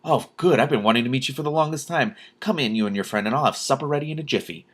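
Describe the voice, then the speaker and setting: A man's voice: mid-range voice, racing, a bit bright, voice pushed up, natural voice. Guy doing a giantess from a storybook, sweet hostess type, super welcoming. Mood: eager welcome. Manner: pleasant, welcoming. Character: hospitable giantess, sugary and eager hostess.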